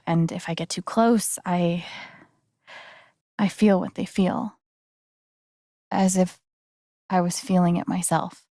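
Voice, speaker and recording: An actress playing an earnest, gentle young woman in a video game, speaking softly and haltingly with sincere, naturalistic delivery. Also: on the low side, dry recording, clean studio sound.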